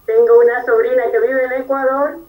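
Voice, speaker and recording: A woman's voice: high voice, little pitch movement, fairly quick, warm tone, recorded from a distance, rough recording. A Venezuelan woman in her fifties, an engineer answering interview questions in earnest detail, often checking in with "¿verdad?". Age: 50s. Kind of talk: conversational interview.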